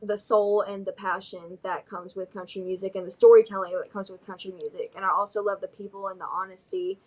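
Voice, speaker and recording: A woman's voice: mid-range voice, level tone, a little fast, darkish tone. A young woman with a Southern American drawl, from Alabama, talks through an interview in a bubbly, easygoing way. She giggles often and leans on "um" and "like" as she goes. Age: young adult. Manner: bubbly, giggly, many fillers. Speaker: singer-songwriter guest. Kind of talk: casual interview chat.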